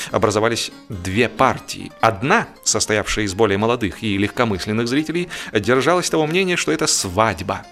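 A man narrating a humorous literary story in an expressive, measured, mock-solemn way. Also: mid-range voice. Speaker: audiobook narrator.

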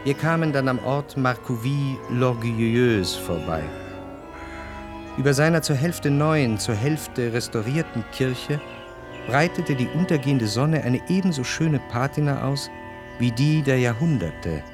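A reflective, jealous lover narrates his inner life, played by a male actor. His mid-range voice phrases the literary text deliberately, with music underneath. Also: mid-range voice, lively intonation, lightly acted. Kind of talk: scripted literary narration in radio drama.

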